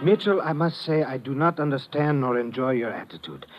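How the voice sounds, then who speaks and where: Actor as a stern, formal foreign police lieutenant. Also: male voice, mid-range voice, lively intonation, a little fast, soft background music, lightly acted. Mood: grave. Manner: precise, formal speech without contractions. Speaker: radio drama actor. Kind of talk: 1950s radio drama acting. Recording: dull old radio broadcast.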